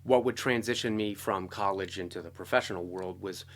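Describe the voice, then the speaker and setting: A middle-aged American man telling his story casually as an interview guest, talkative and animated. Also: low voice, a little fast. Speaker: interview guest, composer and guitarist.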